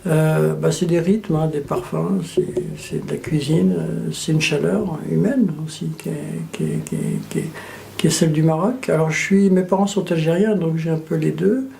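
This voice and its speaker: A middle-aged man born in Morocco and raised in France, an engineer speaking reflectively in an interview, measured and thoughtful, repeating words as he searches. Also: fairly high pitch, vocal fry, warm tone, slight background noise.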